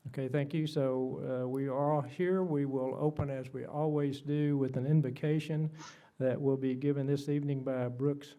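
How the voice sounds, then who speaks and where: A soft-spoken man, the mayor, chairing a city council meeting with easygoing, plain speech and frequent fillers. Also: mid-range voice, steady tone, normal speed. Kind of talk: formal meeting chairing, roll call. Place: council chamber.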